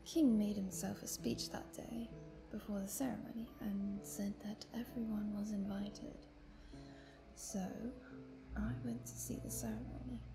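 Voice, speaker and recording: A woman's voice: mid-range voice, steady tone, measured pace, creaky, over music, poor audio. A woman playing a chatty, playful vampire prisoner who teases and tells her woes theatrically in a roleplay monologue. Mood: bitter storytelling. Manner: playful, talkative, teasing, theatrical. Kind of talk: dramatic roleplay monologue addressed to the listener.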